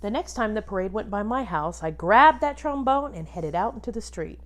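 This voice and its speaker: A woman teacher reading a picture book aloud to children expressively, pausing to ask them questions and point things out. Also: medium pitch. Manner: animated, addresses young children with questions.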